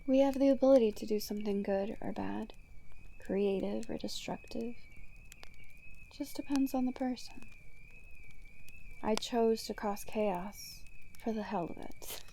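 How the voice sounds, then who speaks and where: Casting: actress for gleeful female supervillain, chaos-loving, ASMR audio roleplay. Slightly high yet soft and dark, teasing, breathy. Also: unhurried, pauses often, a little hiss or hum. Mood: nonchalant, philosophical. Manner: teasing, breathy, often dropping to near-whispers. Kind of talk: ASMR roleplay monologue to the listener.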